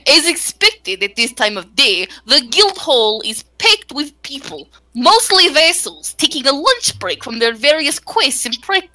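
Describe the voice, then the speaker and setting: A woman's voice: sits high, very animated, a little slow, hardly any pauses, bright, forceful tone, poor audio. A woman narrating a visual novel among friends, slipping between scripted reading and giggly, self-mocking, casually sweary asides. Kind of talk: casual group read-aloud, narration mixed with joking.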